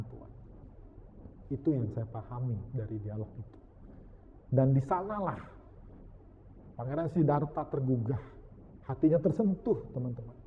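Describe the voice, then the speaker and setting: A man giving a Buddhist dharma talk, lively, often asking his listeners questions and slipping in English phrases. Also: medium pitch, slight background noise. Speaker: Buddhist teacher. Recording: tinny phone-like sound, long hall reverb.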